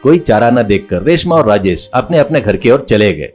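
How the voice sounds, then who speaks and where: Casting: male storyteller, medium pitch, lively expressive scripted comedy narration with background music. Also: moderate pace.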